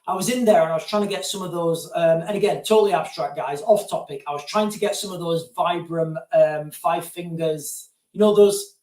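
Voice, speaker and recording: Male streamer, high voice, loose digressive chat, set back from the mic in a roomy space. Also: normal speed, hardly any pauses, a little mellow. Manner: digressive, keeps addressing viewers as guys. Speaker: live-stream host answering viewer questions. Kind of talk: casual rambling live-stream chat. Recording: roomy sound, distant from mic.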